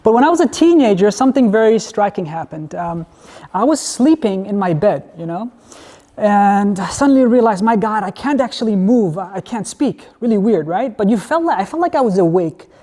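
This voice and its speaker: A man from Denmark gives a conversational university lecture with a mellow, relaxed tone. He often asks the audience questions. His voice rings with the long reverb of a lecture hall. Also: high-pitched, normal speed. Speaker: guest lecturer.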